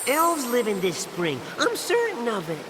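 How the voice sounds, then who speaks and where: High, swooping, cocky little elf. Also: female voice, huge pitch swings, a little slow, in a large hall, noisy background. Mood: cocky, cheeky. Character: tiny cocky male elf sidekick, boastful and cheeky. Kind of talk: animated video game voice acting.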